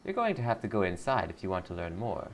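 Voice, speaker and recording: A man's voice: low voice, big pitch swings, quick pace, slight background noise. Man playing a lilting, blissful cult greeter. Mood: serene, eager. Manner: sweetly pious, salesy. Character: blissful, eager cult greeter recruiting newcomers.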